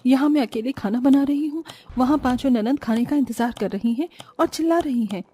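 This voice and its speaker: Plaintive, high, soft-toned overworked housewife, acted by a woman. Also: brisk, husky, no room sound. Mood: weary, complaining. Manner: plaintive, dutiful. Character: overworked, long-suffering young housewife in a family cartoon. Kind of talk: cartoon moral story dialogue.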